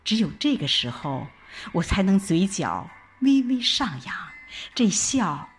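Slow, expressive woman narrating over music. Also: mid-range voice, slightly rough. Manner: expressive, reflective recitation with deliberate pauses. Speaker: audio drama narrator. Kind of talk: dramatic scripted first-person narration. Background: background music.

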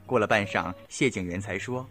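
A man's voice: on the low side, moderate pace, fairly bright tone. A man reading scripted narration for an audio drama. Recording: music playing behind.

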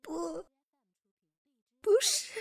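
A woman playing a soft-spoken, tender young woman in a radio drama, gentle and polite. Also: drawn-out words. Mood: anguished. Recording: music behind the voice.

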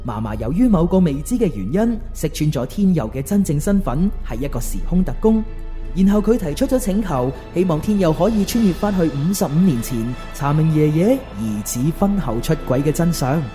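A woman's voice: sits low, animated, a little fast, dark tone, soft background music. A woman, narrating a scripted radio drama as a storyteller.

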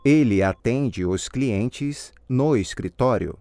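A man reading model answers in a language course drill, slow and clearly enunciated. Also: mid-range voice, animated, mellow, no room sound.

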